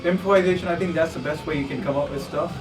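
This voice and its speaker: Quick, higher-pitched man over guitar music. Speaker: band member giving album commentary. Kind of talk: casual chat. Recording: distant mic, guitar music behind.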